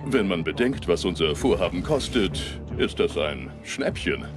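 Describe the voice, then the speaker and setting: An actor playing a self-assured billionaire technologist in a documentary drama interview. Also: male voice, sits low, lively intonation, measured pace, over loud music. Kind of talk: dubbed interview acting.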